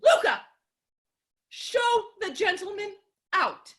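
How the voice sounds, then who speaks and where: An actress as a very high-pitched, indignant widow. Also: female voice, very animated, slow, a bit bright, exaggerated voice. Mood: haughty. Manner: melodramatic, imperious. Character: indignant, fiery, haughty widow quarrelling theatrically. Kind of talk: theatrical staged reading of a classic farce. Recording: home recording over video call.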